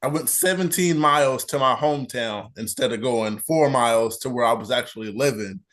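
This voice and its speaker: A man's voice: medium pitch, moderate pace, a bit bright. Laid-back man telling stories. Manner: laid-back storyteller, short agreeing replies. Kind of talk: casual chat.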